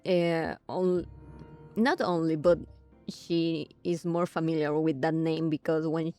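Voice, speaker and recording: A woman giving casual commentary on a game playthrough, thinking aloud in a halting way. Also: low voice, fairly even intonation, dark tone, no room sound. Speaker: gaming streamer. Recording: game music behind the voice.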